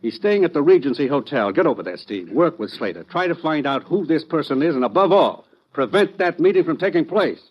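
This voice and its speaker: A man's voice: on the high side, sing-song, a little fast, husky. An actor playing an earnest, urgent government intelligence man, briefing emphatically in 1950s radio drama style. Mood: grave, urgent. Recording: dull old radio recording.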